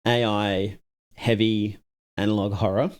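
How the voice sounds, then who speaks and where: A man's voice: on the low side, flat delivery, unhurried, clear, resonant tone. A man streaming live, reacting casually and thinking aloud while echoing lines back to himself.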